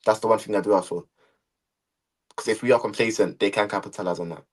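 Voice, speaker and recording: A man joins a live football chat as a guest, his voice a little husky. He talks in a relaxed but opinionated way, like a fan arguing his case. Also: lowish voice, quick pace.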